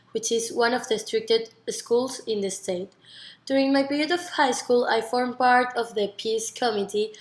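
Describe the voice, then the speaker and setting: A nineteen-year-old woman from Venezuela with a Venezuelan Spanish accent, earnestly giving rehearsed interview answers in non-native English with some stumbles. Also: fairly high pitch. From Margarita, Venezuela. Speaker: university student being interviewed. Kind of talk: rehearsed interview self-presentation. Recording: echoey, roomy sound.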